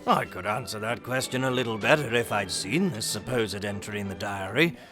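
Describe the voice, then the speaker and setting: A man playing a genial, self-assured gentleman under suspicion in a period mystery audio play, polite and confident in his answers. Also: on the low side, lively intonation, brisk, faint music behind. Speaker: actor in audio drama.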